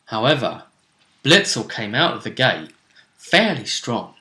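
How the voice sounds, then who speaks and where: A man's voice: medium pitch, sing-song, unhurried, sharp tone. A man, recapping a tabletop game session as game master in a wry, chatty way. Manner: chatty, wry, jokes about the fight. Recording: echoey room.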